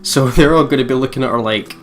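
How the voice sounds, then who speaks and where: A man's voice: mid-range voice, quick pace. A man co-commentating on a video game in casual chat, with guitar music behind him. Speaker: let's play co-commentator. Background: game soundtrack with guitar.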